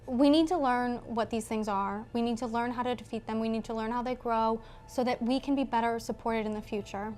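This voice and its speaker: High-voiced, blunt woman at game table. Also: steady tone, fairly quick, hardly any pauses, a little mellow. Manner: blunt, argumentative. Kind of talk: casual improvised in-character game chat.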